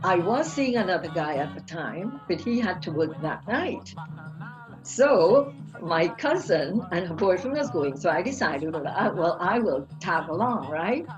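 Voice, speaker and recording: Older Trinidadian woman, lowish voice, reflective storyteller in an interview, music under her. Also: poor audio. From Trinidad and Tobago. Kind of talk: personal life story interview.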